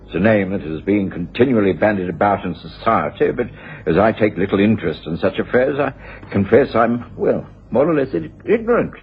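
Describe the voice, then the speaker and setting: A mild, sceptical gentleman chronicler in a radio play. His voice is low and moves in lively swings, with formal, measured phrasing. The sound is a dull old radio broadcast. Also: slightly rough, heavily acted. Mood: mildly sceptical. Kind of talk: radio drama acting, narration and dialogue. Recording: dull 1980s radio broadcast, faint music.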